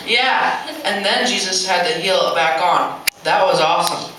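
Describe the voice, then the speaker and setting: A performer playing an easygoing, excitable male disciple in an amateur puppet show, with a playful manner. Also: medium pitch, moderate pace, close to an everyday voice. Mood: amused. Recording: very echoey, distant mic, clicks and noise.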